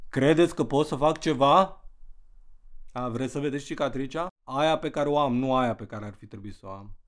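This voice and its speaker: A man's voice: mid-range voice, natural voice. An actor playing a troubled grown son, a young man confiding in a bitter, wry, conversational way in an audio drama.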